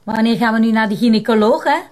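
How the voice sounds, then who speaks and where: High-voiced, defiant Flemish teenage girl, played by a woman. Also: fast, a little mellow, close to an everyday voice. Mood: taunting. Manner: sarcastic, provoking. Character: defiant, taunting teenage daughter. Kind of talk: TV drama dialogue.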